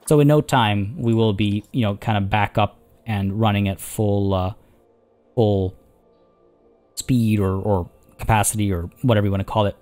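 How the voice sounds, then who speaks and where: A man with a hoarse, tiring voice, chatting casually as he plays a zoo-building game and rambling through his thoughts. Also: on the low side, fairly even intonation, normal speed, a little mellow, faint music behind. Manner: rambling, chatty, many restarts and fillers. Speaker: let's play host. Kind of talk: casual gameplay commentary.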